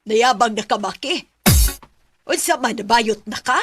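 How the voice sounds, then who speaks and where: A woman's voice: fairly high pitch, measured pace, a bit bright, faint music behind. An actress playing an exasperated, scolding mother in a Bisaya radio drama, with a Cebuano Filipino accent.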